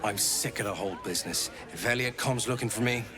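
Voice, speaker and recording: An actor playing a stubborn, plain-spoken debt enforcer and loyal brother in a video game. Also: male voice, lowish voice, fast talker, natural voice. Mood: defensive. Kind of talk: video game character acting. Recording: music and crowd behind.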